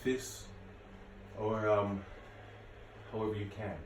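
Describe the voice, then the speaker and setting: Male fitness instructor, late 30s, very low voice, informal and reassuring, recorded at a distance from the mic. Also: level tone, takes it slowly. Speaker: martial arts and fitness instructor. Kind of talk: casual workout instruction to camera. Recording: echoey room, distant mic, faint noise.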